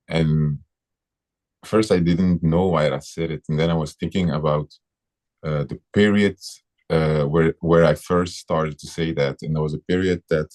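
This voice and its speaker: A man with a Belgian accent and an extremely deep voice shares a personal story on a livestream. He talks haltingly, full of pauses and fillers, and sounds a bit distant from the mic. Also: darkish tone. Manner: hesitant, halting, many fillers. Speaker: guest.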